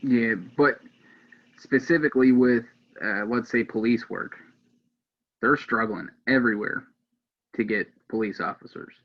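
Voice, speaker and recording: A man's voice: mid-range voice, fairly even intonation, measured pace, pauses often. An American man giving casual interview answers as a podcast guest, earnest and a little hesitant. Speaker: police dispatcher, podcast guest. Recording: dull lo-fi sound.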